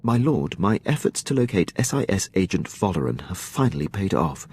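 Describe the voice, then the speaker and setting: An actor playing a formal, dutiful imperial military captain in a video game, clipped and deferential, with a gravelly voice. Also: male voice, low voice, sing-song, normal speed, a little mellow, exaggerated voice. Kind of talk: video game dialogue acting.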